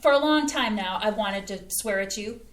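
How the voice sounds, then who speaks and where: A woman's voice: mid-range voice, moderate pace, natural voice. A woman reading the part of a fed-up middle-aged mother and wife in an amateur staged play reading, speaking emphatically and scolding. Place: theater stage. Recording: roomy stage sound.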